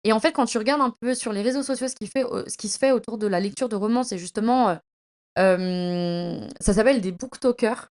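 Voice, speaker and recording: A young woman chatting casually as a podcast host, in a slangy, effusive and emphatic way, often halting and stumbling over her words. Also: mid-range voice, a little mellow. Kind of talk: casual, chatty monologue about reading.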